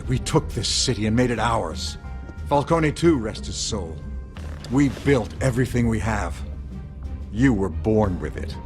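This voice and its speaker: An actor playing a corrupt older mayor in a video game drama, speaking with smooth, pompous condescension. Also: male voice, mid-range voice, natural voice. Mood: smug. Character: smug, corrupt older male mayor and power broker. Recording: music behind the voice.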